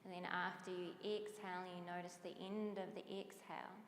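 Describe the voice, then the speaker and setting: A woman guiding a breathing meditation, speaking slowly and softly with long pauses. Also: fairly low pitch, level tone. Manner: slow, soft and unhurried, with long pauses. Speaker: meditation guide. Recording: echoey room with long reverb and faint hiss.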